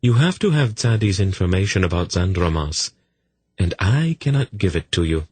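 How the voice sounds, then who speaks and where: A man voicing a dry, sardonic, commanding disembodied voice inside a hero's head, pitched up from his own. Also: lively intonation, moderate pace, a little mellow, slightly rough, no echo.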